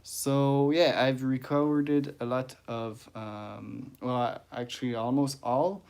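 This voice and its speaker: Slow, halting French-accented man. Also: medium pitch, fairly even intonation, creaky, darkish tone, clean tone. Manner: halting, many "uh"s and restarts, greets chat viewers. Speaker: ant-keeping livestreamer. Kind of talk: casual livestream chat.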